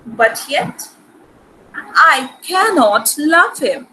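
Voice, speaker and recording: A woman acting a proud, sharp-witted noble lady in a Shakespearean dramatic reading, with an arch, haughty manner. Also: high voice, sing-song, extremely slow, slight background noise, heavily acted, low-quality recording. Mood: proud, firm.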